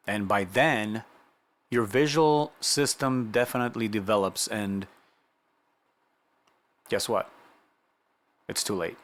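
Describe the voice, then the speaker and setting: A man's voice: lowish voice, takes it slowly, pauses often, a bit bright. A middle-aged man from Romania telling a personal story in a casual, conversational way, often checking in with the listener. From Romania, moved to the United States at nine. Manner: conversational, checks in with listener with "you know" and rhetorical questions. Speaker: vlogger sharing personal testimony.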